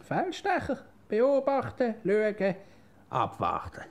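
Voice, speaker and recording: A male actor playing a blustering, know-it-all husband in a comic radio play, with a Swiss German accent and excitable, repetitive delivery. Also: high-pitched, slow pace, exaggerated voice. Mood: scheming, commanding. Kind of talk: comedic radio play dialogue.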